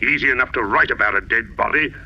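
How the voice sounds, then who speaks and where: A man's voice: medium pitch, fast talker, slightly rough. A man playing a brutish, callous garage owner in an old radio drama, mocking and drawling as he talks. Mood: mocking, sneering. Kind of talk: 1940s radio drama acting. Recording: dull old radio recording, faint music.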